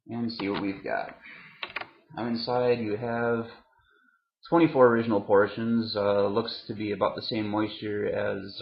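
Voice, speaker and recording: A man in his thirties talks through a product review with a lowish voice full of fry. He hesitates and doubles back on words as he rambles unscripted. The sound is dull and clearly roomy, like a webcam at home. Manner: halting, hesitant, repeats words. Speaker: vlogger reviewing tobacco products. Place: room at home, indoors.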